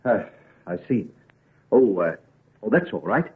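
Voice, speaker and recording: Hesitant young gentleman, vintage radio. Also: on the low side, big pitch swings. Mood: flustered. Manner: hesitant, halting. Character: shy, flustered young gentleman doctor of twenty-two. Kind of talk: old-time radio drama acting. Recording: dull vintage radio recording.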